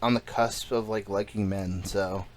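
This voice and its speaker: A man's voice: fairly low pitch, steady tone, unhurried. A young man co-hosting a casual podcast chat, rambling with lots of fillers and crude jokes. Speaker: podcast co-host.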